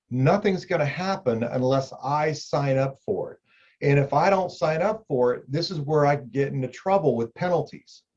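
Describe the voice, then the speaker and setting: A man's voice: medium pitch, a little mellow, muffled recording. A middle-aged man, an insurance agent, explaining benefits to the viewer in a conversational way, repeating key points. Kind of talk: explainer video talk.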